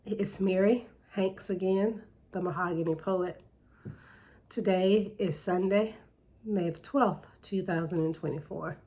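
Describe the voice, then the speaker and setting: A middle-aged woman and poet, speaking reflectively and reading her poems in a slow, deliberate way with pauses, a little nasal from allergies. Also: on the low side, fairly even intonation, dark tone. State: slightly nasal from seasonal allergies. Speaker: poet hosting her own segment. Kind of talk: reflective spoken monologue with poetry reading.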